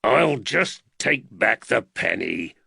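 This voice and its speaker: A man's voice: lowish voice, big pitch swings, takes it slowly, bright, forceful tone, no room sound, clean studio sound, exaggerated voice. A man voicing a no-nonsense period London police constable, blunt and growling, in a storybook. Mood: gruff. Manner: blunt, gruff.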